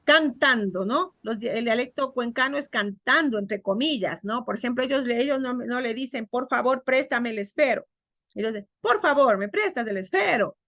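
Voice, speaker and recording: A woman teacher giving an online class over a video call, quizzing her students and drawing out key words slowly. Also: on the high side, lively intonation, fairly bright tone, no room sound.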